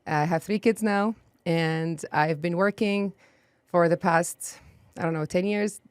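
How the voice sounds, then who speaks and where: Low-voiced woman from Iraq, chatty guest. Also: steady tone, a little slow, smooth, no room sound. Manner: chatty, frequent "you know" and "um". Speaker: guest, sign business owner. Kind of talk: casual interview. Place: podcast studio.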